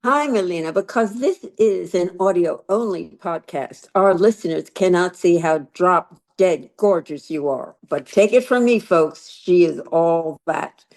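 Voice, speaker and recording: A woman hosting an interview podcast, asking direct, prompting questions. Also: on the low side. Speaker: podcast host, psychotherapist.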